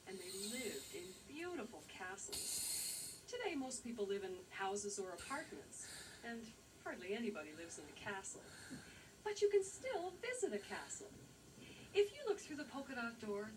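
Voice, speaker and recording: Lively, high-voiced woman presenting for children. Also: far from the mic, noisy background. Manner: clear, explanatory, addressing young children. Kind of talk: children's educational show presenting and scripted narration. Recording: loud music behind, old VHS tape. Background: music.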